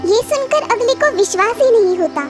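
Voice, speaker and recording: A woman tells a children's story in a very high, animated voice. She reads as a scripted narrator, with music clearly playing behind her. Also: brisk.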